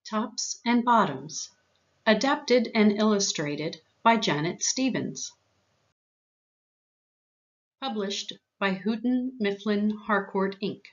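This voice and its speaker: A woman's voice: mid-range voice, animated, slow, lo-fi sound. A woman reading a children's storybook aloud as narrator. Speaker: story reader.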